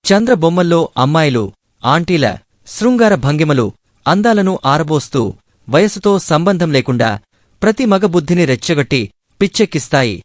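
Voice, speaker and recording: Animated, high-pitched man narrating poetically. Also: normal speed, no echo, studio quality. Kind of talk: scripted, poetic documentary narration.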